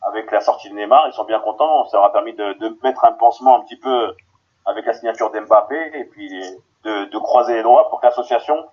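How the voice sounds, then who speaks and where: A man from Paris, a guest on a football podcast, answering in a halting way with many restarts, heard over a remote call. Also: on the low side, husky, lo-fi sound. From Paris, France.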